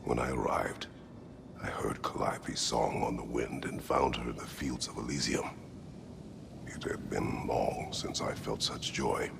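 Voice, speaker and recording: A man voicing a grave, terse middle-aged warrior haunted by past cruelty, with a deep, gravelly, breathy rumble, in a video game. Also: steady tone, whispered, heavily acted. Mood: grave, remorseful. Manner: terse, solemn, measured. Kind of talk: dramatic video game voice acting.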